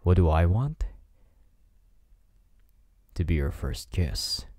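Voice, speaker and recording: A man's voice: deep voice, very animated, dark tone, dry recording, full character voice. Guy playing a cocky flirty delinquent, asmr roleplay, teasing, really swoopy intonation, dry close mic. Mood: softly teasing, then low, seductive. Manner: teasing, smug, drawn-out delivery. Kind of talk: intimate ASMR roleplay acting. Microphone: close microphone in a dry space.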